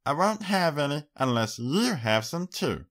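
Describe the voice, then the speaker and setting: Guy doing a sweet little girl pony for an audiobook, high pitched, talks slow, really earnest. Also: sing-song, fairly bright tone, exaggerated voice. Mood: insistent.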